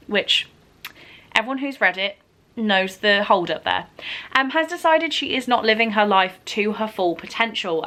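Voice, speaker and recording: A woman presents a casual book vlog in a bright, forward voice of average pitch. She talks in a chatty, enthusiastic way, like a host sharing news with her viewers. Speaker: book vlogger and read-along co-host. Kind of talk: upbeat casual announcement to camera.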